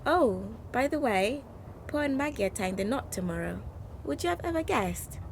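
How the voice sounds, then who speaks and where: A woman's voice: lively intonation, moderate pace, creaky. A woman playing an affectionate 1940s factory worker reading out a letter to her sister in a radio play. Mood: gossipy. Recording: noisy, rumbling background ambience.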